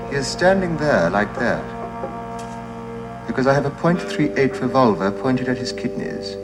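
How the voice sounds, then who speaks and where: An actor playing a soft-spoken male war veteran in a radio drama, dry and politely ironic. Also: medium pitch, animated, normal speed, natural voice, poor audio. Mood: wry. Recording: music playing underneath.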